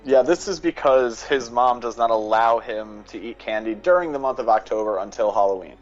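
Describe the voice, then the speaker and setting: A man's voice: mid-range voice, brisk, soft background music. A man speaking as a podcast guest in a casual, analytical discussion style. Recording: dull lo-fi mic sound.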